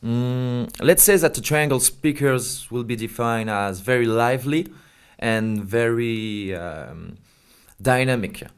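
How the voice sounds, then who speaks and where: A man's voice: medium pitch, takes it slowly, smooth. Man explaining a product. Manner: hesitant non-native English phrasing. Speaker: company representative being interviewed.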